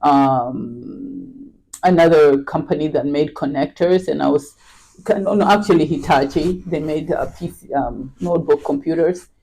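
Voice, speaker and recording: A middle-aged woman speaks as a podcast guest in a relaxed, unhurried chat. She often pauses with fillers and restarts her sentences as she thinks. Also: deep voice, breathy edge, low-quality recording. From Kenya. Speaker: podcast guest, real estate agent. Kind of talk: casual interview chat.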